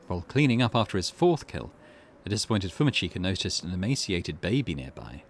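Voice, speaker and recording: A man's voice: low voice, big pitch swings, a little fast, slight background noise. A man reading visual novel narration aloud as a gaming host.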